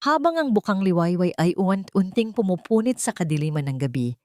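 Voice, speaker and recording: Low-voiced woman narrating a romance story. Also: very animated, a little fast, darkish tone, no room sound, clean studio sound.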